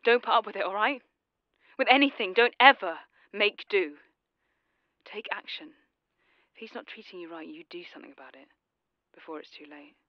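Actress as composed female killer, measured, politely taunting, audio drama, dry and slightly muffled. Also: lowish voice, no room sound, lightly acted. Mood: calm, earnest. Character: coldly composed, mockingly polite woman who killed her husband.

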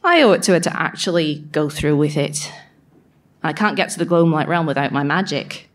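A woman's voice: sits low, lively intonation, moderate pace. An actress playing a guilt-ridden, sardonic fairy woman who speaks a self-mocking, sweary diary monologue in a live audio drama. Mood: resolved, rueful.

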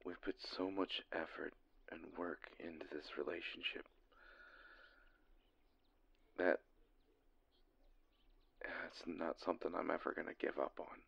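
A man performing an intimate audio roleplay as a lovesick, insecure boyfriend, halting and breathy with a gravelly voice, often sinking into whispers. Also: sits low, fairly even intonation, a bit bright, heavily acted. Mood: pained, pleading. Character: lovesick, insecure boyfriend longing for his distant partner. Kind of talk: scripted intimate romantic monologue.

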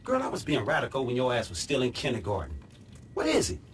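A man's voice: medium pitch, huge pitch swings, fast talker, a little hiss or hum. A man acting a brash, streetwise middle-aged American fixer in a video game, with slangy, profane swagger. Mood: cocky, irritated.

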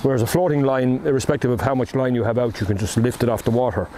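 A man's voice: medium pitch, fast talker. An older man with an Irish accent, chatting casually about fly fishing while standing outdoors by a river, recorded by a camera on the bank. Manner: relaxed, explaining, with ums and asides. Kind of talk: casual outdoor how-to talk. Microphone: camera on the bank, speaker at a distance. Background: flowing river and wind.

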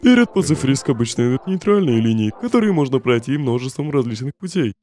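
A weary, joking skeleton big brother performed by a man for a comic dub. He talks in an easygoing, slangy way with a very mobile pitch. Faint music plays behind him. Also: very animated, fast talker, dark tone, natural voice. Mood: knowing, casual. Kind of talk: comic dub voice acting with narration.

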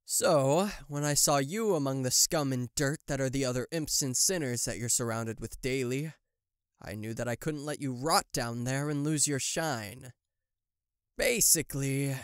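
Man acting a slow-talking possessive demon CEO. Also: mid-range voice, lively intonation, fairly bright tone, clear, resonant tone, no room sound, studio quality. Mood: wheedling, confiding. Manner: theatrical, condescending, deliberate. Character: possessive, smug male TV-headed demon tech CEO. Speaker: voice actor. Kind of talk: yandere ASMR roleplay addressed to the listener.

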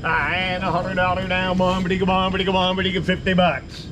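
High-voiced man chanting auction bids outdoors. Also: flat delivery. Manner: rapid rhythmic bid calling with filler syllables. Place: outdoors at a storage facility lot. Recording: outdoor camera audio with loud noise.

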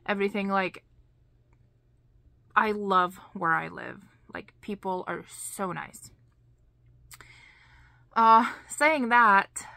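A woman in her thirties with a Lithuanian accent, chatting to camera in a slow, deliberate storytelling way, full of "you know" and "like.". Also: mid-range voice, sharp tone, no echo. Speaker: vlogger. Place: small indoor craft room.

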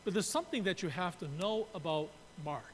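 A man's voice: expressive, a little fast. A man in his sixties from Canada, preaching a sermon from a pulpit and explaining his points while gesturing. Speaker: pastor. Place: church sanctuary. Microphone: pulpit mic. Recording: reverberant church room, faint rumble.

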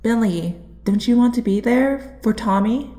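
A woman's voice: on the high side, unhurried, dark tone, lightly acted. A woman playing a determined, caring young hero in an audio drama. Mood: concerned.

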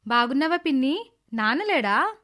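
Lady doing a sweet meek young married daughter, high pitched, respectful, moral story cartoon style. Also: big pitch swings, normal speed, a bit bright. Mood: polite, searching. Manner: polite, pleading. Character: meek young pregnant stepdaughter, respectful and affectionate. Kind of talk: dramatized moral story voice acting.

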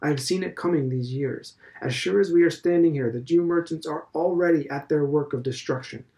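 A male narrator plays a stern elderly headmaster who sermonizes in slow, weighty phrases. His voice rides a little higher and louder than the narration, with a gravelly edge. The manner is preachy and self-assured. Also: close to an everyday voice. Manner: emphatic, lecturing, slow stressed phrases. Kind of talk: audiobook character reading.

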